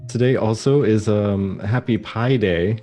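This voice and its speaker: A man's voice: lowish voice, measured pace, warm tone, faint music behind. A man co-hosting a casual gaming podcast, laid-back and joking. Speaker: podcast co-host.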